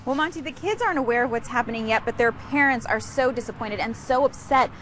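A woman's voice: fairly high pitch, fast talker, fairly bright tone, slight background noise. A woman reporting the news in a scripted TV style.

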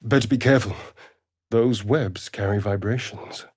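An actor voicing a vain, sardonic vampire elf rogue in a video game, with an arch, drawling, theatrical delivery. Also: male voice, fairly low pitch, normal speed, breathy edge, no echo, studio quality. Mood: measured, serious. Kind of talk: video game character voice lines.

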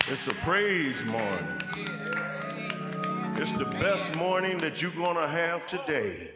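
An older American man at a church pulpit, reading scripture and welcoming the congregation in a slow, folksy call-and-response way. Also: a little high, big pitch swings. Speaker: church elder giving the welcome. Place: large church sanctuary. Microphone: pulpit mic on a stand. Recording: echoey church hall with music.

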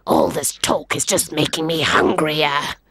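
A woman voicing a proud, hungry male lion king with a deep, breathy growl, speaking slowly and menacingly in a storybook. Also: steady tone, a bit bright, no echo, studio quality, exaggerated voice. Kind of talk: storybook read-aloud.

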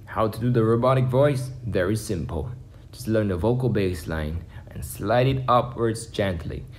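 A man's voice: lowish voice, moderate pace, darkish tone. A man in his twenties giving a casual tutorial to camera, explaining things simply, step by step. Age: 20s. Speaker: beatbox instructor. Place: small home room indoors. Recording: faint background noise, home room.

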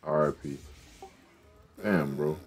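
Deep-voiced man talking trash over a game. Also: slow, husky. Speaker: gaming streamer. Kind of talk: casual chat over gameplay.

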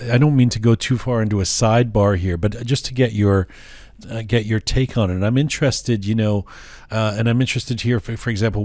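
A man hosting an interview, asking long questions in a halting way with many restarts. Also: on the low side, darkish tone, dry recording, studio quality. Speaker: podcast host, interviewer.

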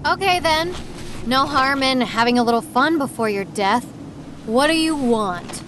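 A young demon-hunting streamer girl, high lively voice, scornful and fearless, audio drama. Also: unhurried, background noise. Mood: defiant. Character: cocky, defiant young female streamer who hunts demons.

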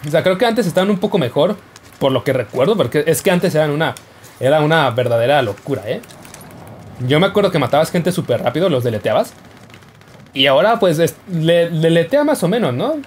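Lively playful young man gaming. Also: a little high, a little fast, slight background noise. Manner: playful, jokey, yelps and laughs while playing. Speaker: gaming YouTuber. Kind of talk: casual gameplay commentary.

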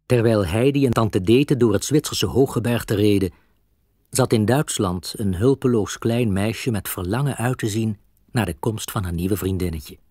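A man's voice: fairly low pitch, warm tone, husky, dry recording, studio quality. A man narrating a scripted children's story as a storyteller.

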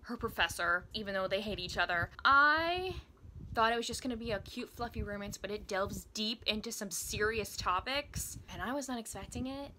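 A woman's voice: medium pitch, moderate pace, bright, forceful tone, a little hiss or hum, rough recording. A woman in her twenties chatting casually to camera as a book vlogger, speaking in an enthusiastic, emphatic way. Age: 20s. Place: small indoor room with bookshelves.

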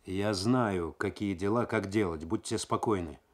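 A man's voice: low-pitched, a little slow. A man voicing a brusque, cagey thief in a radio story, curt and dismissive in manner.

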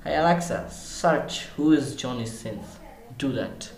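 A man's voice: medium pitch, a little slow, darkish tone. A young man with an Indian English accent chatting with strangers over a webcam, teasing and talking in loose, broken phrasing. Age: young adult. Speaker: video chat host. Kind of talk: casual video chat with strangers. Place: small room indoors. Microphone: webcam video call. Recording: echoey room, faint background noise.

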